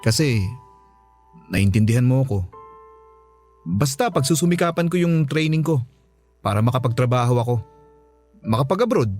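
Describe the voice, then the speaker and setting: A man's voice: mid-range voice, lively intonation, moderate pace, pauses often, darkish tone, lightly acted. A man with a Filipino accent playing an apologetic, sweet-talking, humble husband in a radio drama. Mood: earnest, loving. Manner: pleading, sincere, coaxing. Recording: music under the voice.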